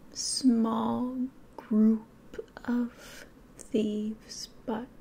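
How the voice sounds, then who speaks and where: Woman acting a slow, whispering demon queen. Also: a little high, a little mellow, slight background noise. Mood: haughty. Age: 20s. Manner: theatrical, unhurried, mostly whispered. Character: sly, grandiose female demon ruler of a secret brotherhood. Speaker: ASMR roleplay performer. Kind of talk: ASMR roleplay, slow whispering. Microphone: close microphone.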